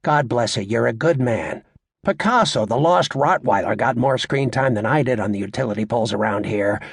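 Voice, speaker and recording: A man playing a brash, crude stand-up comedian working a club crowd in an audiobook, with a raspy voice and a cocky, sarcastic, showy, needling delivery. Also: medium pitch, animated, moderate pace, no echo, studio quality, pitched below the performer's own voice.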